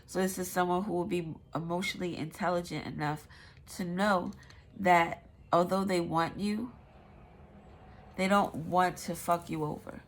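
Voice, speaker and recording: A woman's voice: fairly low pitch, steady tone, unhurried. A woman with a stuffy nose giving a casual, rambling tarot reading, swearing now and then. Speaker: tarot reader.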